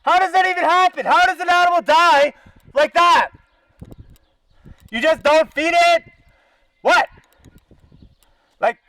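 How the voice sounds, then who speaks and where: Canadian male activist, extremely high-pitched voice through a bullhorn, dry and echo-free outdoors. Confrontational, sarcastic, profane protest delivery. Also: a bit bright. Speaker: animal-welfare activist. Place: outdoors by a zoo fence.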